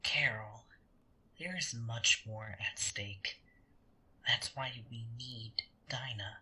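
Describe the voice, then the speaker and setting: An actor in a sci-fi audio drama playing a conniving angel, smug and taunting, with a breathy, husky voice and a theatrical, condescending delivery. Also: medium pitch, slow, pushed and bright, exaggerated voice, poor audio. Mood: insistent.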